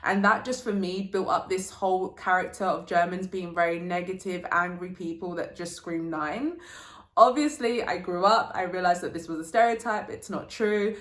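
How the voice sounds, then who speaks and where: A woman's voice: on the low side, normal speed. A young woman hosting a casual sit-down vlog, chatting informally with slang and telling anecdotes. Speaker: vlogger, channel host. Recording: roomy, echoey indoor sound.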